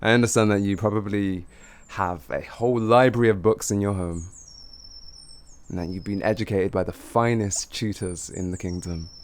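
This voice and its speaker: A man's voice: fairly low pitch, steady tone, close to an everyday voice. A man playing a cocky, flirty, streetwise bandit in an improvised fantasy roleplay, with teasing, theatrical delivery. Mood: condescending, warning. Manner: teasing, theatrical, drawn-out phrasing with chuckles.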